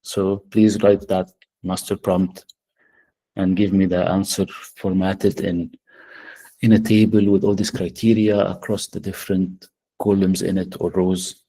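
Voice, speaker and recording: Arabic-accented male workshop presenter, mellow tone, halting delivery full of "uh"s, on a video call. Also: low-pitched, level tone, slightly rough, poor audio. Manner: hesitant, many fillers. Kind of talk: live software demo.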